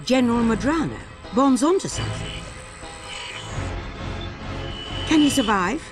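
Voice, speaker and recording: An actress playing a stern, commanding senior spy chief in a video game, with a British accent and a clipped, exasperated manner. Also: female voice, a little high, expressive, a little fast, natural voice. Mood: terse, concerned. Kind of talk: video game cinematic voice acting. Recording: loud music behind.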